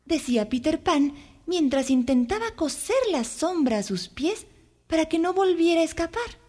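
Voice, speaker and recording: A woman storyteller reads a children's tale in a fairly high voice. Her intonation is very animated and her phrasing is careful and expressive. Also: moderate pace, slight background noise. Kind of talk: scripted children's story narration.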